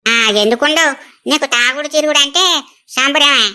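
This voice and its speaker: A woman acting a high, bright, emotional village elder sister. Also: steady tone, normal speed. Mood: teasing, blunt. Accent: Telangana. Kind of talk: rural family drama acting.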